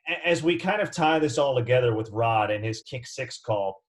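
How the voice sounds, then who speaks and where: A man hosting a casual interview, conversational and halting as he searches for his words. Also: mid-range voice, expressive. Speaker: interviewer, host.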